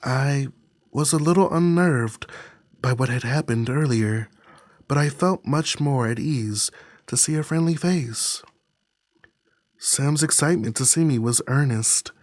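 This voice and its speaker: A man reading a visual novel aloud as a streamer, with dramatic, deliberate phrasing. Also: mid-range voice, a little mellow, dry recording. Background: music.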